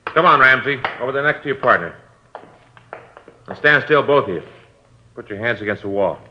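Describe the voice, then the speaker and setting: An actor playing a businesslike police officer giving firm orders during an arrest, 1950s radio drama style. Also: male voice, medium pitch, expressive, moderate pace, muffled recording, a little hiss or hum, lightly acted. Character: businesslike male uniformed cop making an arrest.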